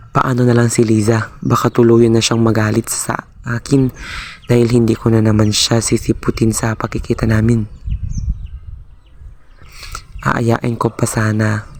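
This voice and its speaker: A shy, lovestruck young Filipino man played by a male amateur actor in a radio drama. His delivery is even and hesitant, with slight noise on the recording. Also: mid-range voice, natural voice. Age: young adult. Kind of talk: amateur radio drama acting with narrated monologue.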